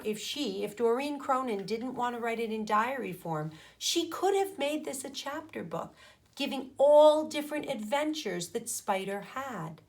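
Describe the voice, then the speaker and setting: Slow-reading woman teacher with a higher voice. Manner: deliberate, pausing between phrases, addresses pupils with questions. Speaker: primary school teacher. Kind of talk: read-aloud of a picture book for children with teaching commentary.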